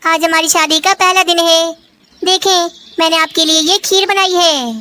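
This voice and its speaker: Casting: woman as young female cartoon sparrow, high-pitched and bright, devoted, polite, pleading. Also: steady tone, normal speed, no echo. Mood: concerned. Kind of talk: Hindi children's cartoon dialogue.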